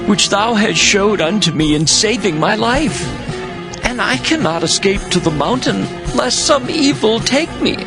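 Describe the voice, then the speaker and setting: An anxious man of ancient times, begging emphatically in archaic English. His high voice rises and falls widely, with clear music underneath, as in a Bible audio drama. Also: full character voice. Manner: imploring, fearful, emphatic. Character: pleading, anxious biblical householder Lot. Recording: loud background music under the voice.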